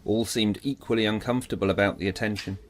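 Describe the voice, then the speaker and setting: A man's voice: lowish voice, fairly even intonation, quick pace, a little hiss or hum. A man narrating a scripted audiobook drama in a theatrical, emphatic storytelling manner.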